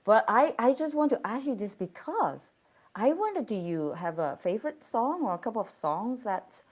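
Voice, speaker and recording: A woman's voice: a little high, big pitch swings, moderate pace. A woman hosting an online interview over a video call, speaking in an effusive, encouraging way and laughing often. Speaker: host.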